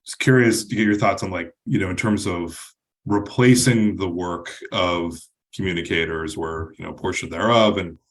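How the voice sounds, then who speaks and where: A man's voice: deep voice, normal speed. A man hosting a podcast, asking a long question in a casual, hedging way full of "you know". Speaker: podcast host. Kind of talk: interview question.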